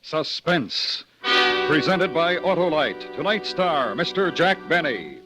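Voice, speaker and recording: American guy announcing old suspense radio show, slightly high voice, music behind him. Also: sing-song, takes it slowly. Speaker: program announcer. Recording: old radio broadcast recording.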